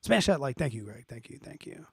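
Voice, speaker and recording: A man's voice: medium pitch, very animated, a little fast, creaky, fairly bright tone, breathy edge, dry recording. A man hosting an investing live stream, explaining off the cuff in an informal, blunt way, thinking aloud and checking in as he answers viewer questions.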